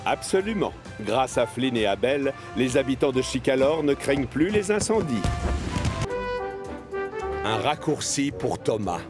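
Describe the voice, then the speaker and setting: A man's voice: medium pitch, big pitch swings, measured pace. A man narrating a scripted children's story as the storyteller of a cartoon. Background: music.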